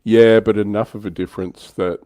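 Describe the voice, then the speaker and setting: Male hobby streamer, low-pitched, close dry sound, conversational panellist delivery. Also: fairly even intonation, brisk, warm tone, dry recording. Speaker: livestream panellist on a tabletop wargaming show. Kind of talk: casual hobby discussion.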